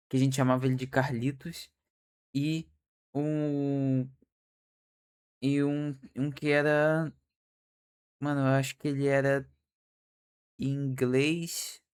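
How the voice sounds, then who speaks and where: A young man streaming a reaction, talking casually with slang and frequent restarts. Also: fairly high pitch. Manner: hesitant, restarts often, slangy.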